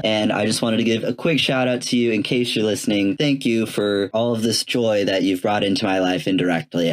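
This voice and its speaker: A man hosting a solo podcast, talking conversationally in an earnest, enthusiastic manner. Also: lowish voice. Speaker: podcast host.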